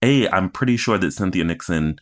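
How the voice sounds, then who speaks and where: Man, very deep pitch, casual podcast co-host, conversational recap, voices imagined reactions. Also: animated, brisk.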